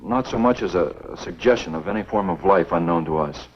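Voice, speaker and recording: Deep-voiced stubborn American game warden, played by a man. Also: brisk, a little hiss or hum, natural voice. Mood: reasoning, firm. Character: stubborn, skeptical male game warden in a horror film. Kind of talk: 1950s film acting. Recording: dull, lo-fi 1950s film soundtrack.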